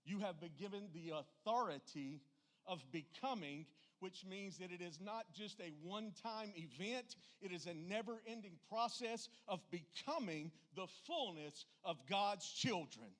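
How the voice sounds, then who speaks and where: A man's voice: high-pitched, unhurried. A man with a Southern US accent preaching a church sermon in a folksy, plainspoken and emphatic way.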